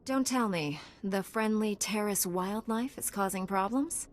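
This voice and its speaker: An actress as a composed, noble heroine. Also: female voice, medium pitch, unhurried, slight background noise, natural voice. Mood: calm. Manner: composed, assured. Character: calm, noble young female warrior monk. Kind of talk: video game dialogue.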